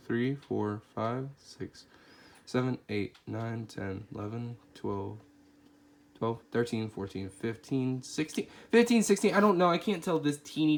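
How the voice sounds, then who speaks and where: A man with a stuffy nose and a voice cracking from a cold reviews a toy figure in a chatty, easygoing way, thinking aloud as he handles it. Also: medium pitch. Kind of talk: casual product review. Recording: clear steady background noise.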